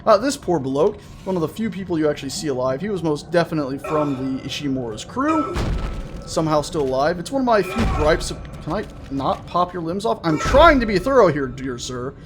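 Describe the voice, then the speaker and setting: A man with a fairly high voice hosts a gaming video in a casual, rambling way. He breaks off his own thoughts and uses playful turns of phrase. Game music plays clearly behind him. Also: sing-song, fairly quick. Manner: rambling, self-interrupting, playful wording. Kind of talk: casual let's play commentary.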